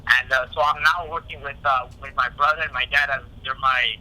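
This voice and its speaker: A man speaking as a boxer in a casual phone interview, halting and repeating himself, with frequent "you know". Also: mid-range voice, fairly even intonation, bright, forceful tone. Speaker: professional boxer being interviewed. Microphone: telephone call. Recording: tinny phone line.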